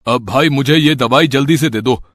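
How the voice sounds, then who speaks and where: A man's voice: mid-range voice, normal speed, fairly bright tone, dry recording, clean studio sound, natural voice. A man playing a shopkeeper and father with plain, earnest delivery in an amateur moral story. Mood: pleading. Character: greedy shopkeeper turned anxious, pleading father.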